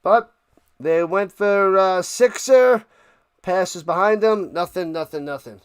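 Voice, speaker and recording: A man's voice: high-pitched, slow pace, smooth, no room sound. A man hosting a live sports watch party, giving informal, conversational play-by-play and often repeating his phrases. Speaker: live sports streamer, host.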